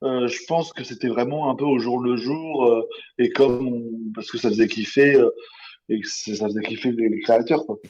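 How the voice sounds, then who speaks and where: Male discussion participant, dark soft timbre, tentative unscripted delivery, lo-fi muffled microphone. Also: mid-range voice, steady tone, normal speed. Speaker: podcast debate participant, audio fiction creator.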